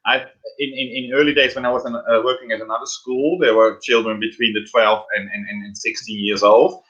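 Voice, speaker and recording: A middle-aged man with a Dutch accent, a drag performer speaking English as a second language in a casual interview, halting and repeating words and laughing often. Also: medium pitch, level tone, fairly bright tone, muffled recording. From Netherlands.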